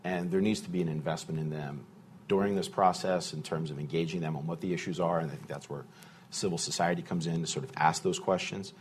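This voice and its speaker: A man speaking as a panelist in a discussion, answering questions in a measured, thoughtful way with fillers and restarts. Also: sits low, steady tone, a little hiss or hum.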